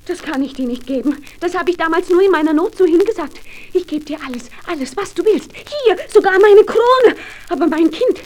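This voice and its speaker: High-voiced anxious young queen. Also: expressive, fairly quick, a little mellow. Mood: desperate pleading. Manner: emotive, pleading, theatrical. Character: young fairy-tale queen, anxious loving mother. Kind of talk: German fairy-tale radio play acting.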